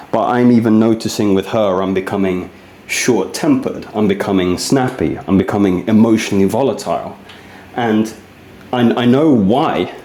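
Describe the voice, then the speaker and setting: A man's voice: lowish voice, dark tone, slight background noise. A man talking casually and earnestly while demonstrating rehab exercises, in a confiding, conversational fitness vlog manner. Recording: echoey, roomy sound.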